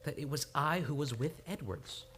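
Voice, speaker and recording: A man's voice: on the high side, moderate pace, fairly bright tone, natural voice. A man acting in an old-time radio drama as an earnest, anguished amnesiac on the run. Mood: anguished, confused. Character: troubled, lovelorn amnesiac man fleeing a murder charge. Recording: live radio play with music behind.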